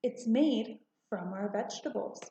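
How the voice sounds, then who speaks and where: A woman voicing a calm, patient mother in a children's storybook, matter-of-fact and reassuring. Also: fairly high pitch, measured pace, slight background noise. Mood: gentle, proud. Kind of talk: children's storybook read-aloud. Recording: roomy, slightly muffled home recording.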